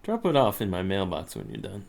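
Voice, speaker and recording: A man's voice: low voice, huge pitch swings, a little fast, vocal fry, darkish tone, slight background noise, heavily acted. A man playing an avuncular, somewhat cagey professor of occult studies in an audio drama, with a hammy, hesitant delivery. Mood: cagey, uneasy. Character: male occult studies professor, fond of corny jokes, cagey.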